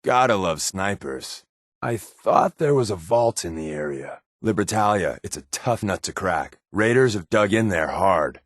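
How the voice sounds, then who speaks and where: A man's voice: low voice, expressive, measured pace, no echo, studio quality. A man playing a wry, laid-back spy companion in a post-apocalyptic video game, given to sardonic asides. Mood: wry, casual.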